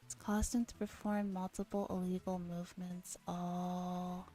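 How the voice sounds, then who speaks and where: A woman streamer gently reading a visual novel aloud in a soft ASMR style, relaxed and chatting casually, at times dropping to a whisper. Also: lowish voice, measured pace. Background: background music.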